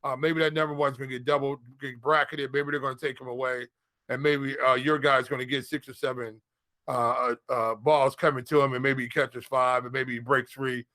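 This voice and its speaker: A man's voice: medium pitch, level tone, a little fast, pushed and bright, no echo. A man co-hosting a casual sports chat, giving picks in informal, slangy talk and repeating phrases for emphasis. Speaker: fantasy football podcast co-host.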